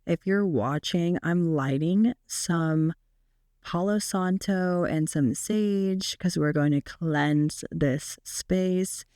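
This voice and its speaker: A woman in her twenties hosting a casual solo podcast, her naturally raspy, deep voice made hoarse by a recent illness, chatting with frequent fillers. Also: takes it slowly, dark tone, dry recording, studio quality. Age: 20s. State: sick, hoarse. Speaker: podcast host.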